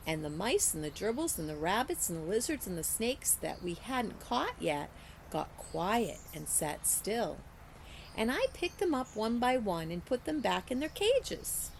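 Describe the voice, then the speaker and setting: A woman narrates a children's story with care and expression. Her pace is slow and her pitch moves widely, with creak in the voice. Faint noise sits in the background. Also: sing-song, low-quality recording. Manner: deliberate, careful storytelling for children. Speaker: teacher reading aloud.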